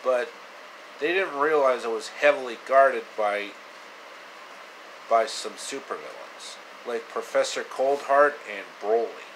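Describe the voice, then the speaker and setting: A man in his thirties telling an improvised story casually to camera, halting and repeating phrases, in a noisy small room. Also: medium pitch, a bit bright. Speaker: amateur storyteller. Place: small indoor room, reclining. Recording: clear air conditioner noise.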